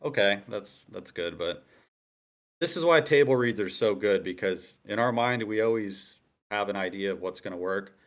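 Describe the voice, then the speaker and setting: A man's voice: lowish voice, normal speed. A man in a casual group discussion, explaining his views on writing at length with emphasis and repetition. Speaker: screenwriter giving feedback. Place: online meeting room.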